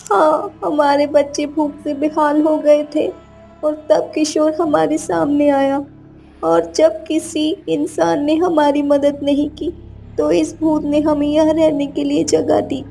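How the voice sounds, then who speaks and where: A woman's voice: high voice, vocal fry, warm tone, heavily acted. A woman voicing a poor, plaintive old village mother in a children's cartoon, with a rural north Indian Hindi dialect. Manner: slow, plaintive, folksy. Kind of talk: children's cartoon story acting.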